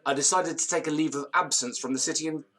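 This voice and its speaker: An actor playing a nervous, theatrical, disgraced gentleman in an audio drama, with florid old-fashioned diction and a tendency to stammer. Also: on the high side, fairly even intonation, fast talker. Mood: grave, storytelling. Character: nervous, theatrical, hard-drinking disgraced university gentleman storyteller.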